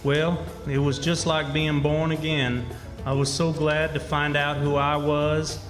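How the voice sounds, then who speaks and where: A man's voice: fairly high pitch, level tone, unhurried, lightly acted. A man playing a country boy narrating his own adventures in a radio play, folksy and confiding. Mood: rueful, relieved. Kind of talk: first-person radio drama narration. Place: live stage in a hall. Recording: hall recording with music.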